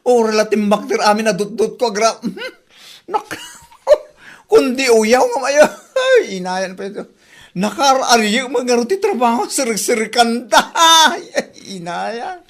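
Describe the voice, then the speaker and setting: A man playing a loud, mocking, gossipy busybody in an Ilocano radio comedy drama, theatrical and full of exclamations. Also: very high-pitched, sing-song, takes it slowly, heavily acted. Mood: mocking glee.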